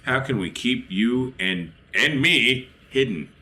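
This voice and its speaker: A man's voice: medium pitch, lively intonation, slow pace, sharp tone. A man playing a tabletop roleplaying game, chatting casually as he plans in character.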